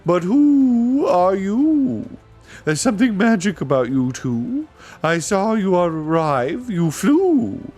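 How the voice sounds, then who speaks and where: A man voicing a big, fussy, flustered owl in a storybook, with hooting, drawn-out vowels. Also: high voice, sing-song, darkish tone, soft background music, pitched above the performer's own voice, exaggerated voice. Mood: sad, musing. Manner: fussy muttering, stretched words. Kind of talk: storybook character voice.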